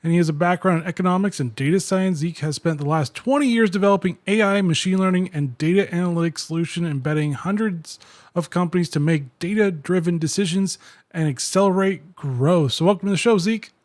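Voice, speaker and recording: A man's voice: sits high, a little fast, darkish tone, no echo. A man hosting a podcast, introducing a guest and asking friendly, conversational questions. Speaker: podcast host.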